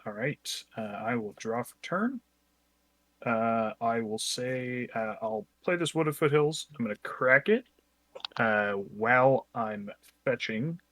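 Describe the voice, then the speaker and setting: A Canadian man with a bright, forward voice talks casually over a card game. He is opinionated and matter-of-fact, and the sound is dry with no room on it. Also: fairly low pitch, dry recording. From Ontario, Canada.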